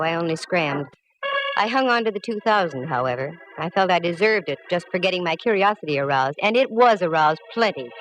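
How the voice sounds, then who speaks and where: A woman's voice: medium pitch, a bit bright, lightly acted, low-quality recording. An actress playing a sassy, confident female private eye in a 1940s radio detective drama, with wry, slangy delivery. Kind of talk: 1940s radio detective acting and first-person narration. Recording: vintage 1940s radio recording.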